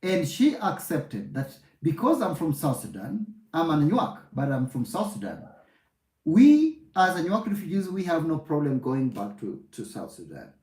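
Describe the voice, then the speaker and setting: Man moderating, lively mellow voice. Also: fairly high pitch, normal speed, reverberant, poor audio. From Kenya. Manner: calm, steering others to take turns. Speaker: discussion host. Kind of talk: moderating a heated online discussion.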